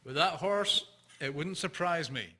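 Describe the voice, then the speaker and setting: A man's voice: on the high side, expressive, measured pace, over music. A man playing a folksy, hearty old-time blacksmith, exclamatory, in a radio drama. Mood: wry, obliging.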